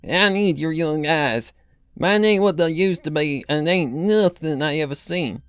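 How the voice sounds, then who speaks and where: A man's voice: a little high, unhurried, a bit bright, smooth, no room sound, pitched above the performer's own voice. A man voicing an elderly country granny pony from a cartoon fan story, with a folksy rural Southern drawl. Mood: worried, folksy. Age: older. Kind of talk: fan fiction character reading.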